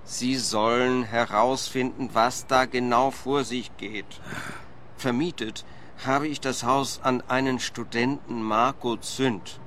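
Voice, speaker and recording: A man's voice: mid-range voice, fairly even intonation, takes it slowly, a bit bright, slight background noise. A man playing a fussy, self-justifying wealthy landlord in a radio play, plaintive. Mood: evasive, defensive. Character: fretful, evasive wealthy landlord, thin-skinned former boss.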